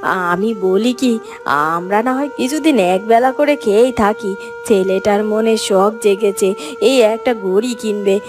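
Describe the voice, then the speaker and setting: A woman's voice: medium pitch, measured pace, close to an everyday voice. A woman voicing a poor farmer's caring, coaxing wife and mother in a fairy-tale cartoon. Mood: coaxing, concerned. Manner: coaxing, tender. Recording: music under the voice.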